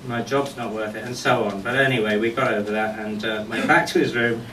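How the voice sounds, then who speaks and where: A middle-aged man giving a eulogy as a speech of humorous anecdotes, told in a wry, unhurried way. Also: on the low side, fairly even intonation, pushed and bright. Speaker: speaker giving a memorial tribute. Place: indoor function venue at a golf club, before an audience. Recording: echoey room, distant mic, faint noise.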